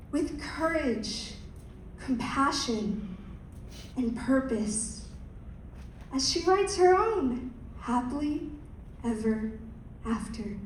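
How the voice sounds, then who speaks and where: A young woman, a pageant contestant, reciting a rehearsed speech in a slow, measured way. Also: high-pitched, darkish tone, slight background noise. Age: young adult. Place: large hall. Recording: echoey hall from far off.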